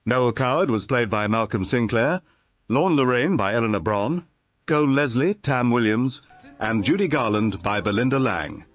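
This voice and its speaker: A man's voice: mid-range voice, expressive, normal speed. A man reading cast credits as a scripted radio announcement.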